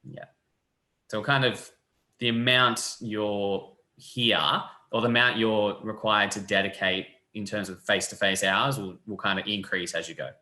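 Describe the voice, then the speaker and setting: A young man, a physiotherapy lecturer explaining the course in a relaxed, friendly way over a video call. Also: on the low side, unhurried. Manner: relaxed, friendly, loose fillers. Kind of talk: casual explanatory chat. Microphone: webcam on a video call. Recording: echoey room.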